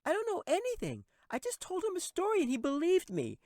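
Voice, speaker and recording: A man's voice: extremely high pitch, wildly swooping pitch, a little fast, a little mellow, no room sound, clean studio sound, voice pushed up, full character voice. A man voicing a frightened young girl, pleading and earnest, in a fantasy audiobook. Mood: tearful protest. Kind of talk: fantasy audiobook character acting.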